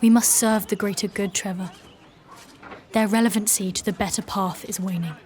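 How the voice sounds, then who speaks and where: An actress playing a grave, guarded warrior woman in a dark fantasy audio drama, speaking in a formal, measured, solemn way. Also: medium pitch, fairly even intonation, a little hiss or hum, natural voice. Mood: cold, resolute.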